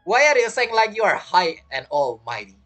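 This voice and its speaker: A woman's voice: medium pitch, very animated, normal speed, fairly bright tone, faint music behind. A woman giving casual, chatty gameplay commentary as a streamer, with teasing asides. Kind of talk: casual game playthrough commentary.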